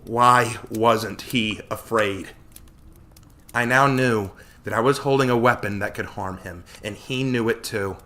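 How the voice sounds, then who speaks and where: A man's voice: fairly low pitch, a little slow, bright, forceful tone. A man reading a fantasy story aloud as dramatic, intense scripted narration. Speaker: story reader.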